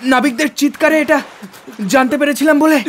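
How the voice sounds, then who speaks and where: Devoted young manservant, high-pitched voice. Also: male voice, steady tone, moderate pace, slight background noise. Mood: grave, resigned. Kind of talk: Bengali audio drama acting.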